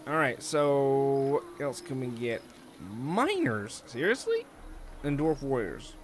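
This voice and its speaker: A man hosting a let's play, giving casual, excitable gameplay commentary and thinking out loud. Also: medium pitch, slow pace, a little hiss or hum.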